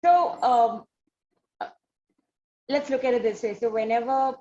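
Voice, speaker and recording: Muffled, slightly noisy audio: female interview host, Indian accent, fairly high voice, wordy halting delivery. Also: mellow. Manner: wordy, halting questions with repeats. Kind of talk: conversational interviewing. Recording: dull lo-fi audio with faint noise.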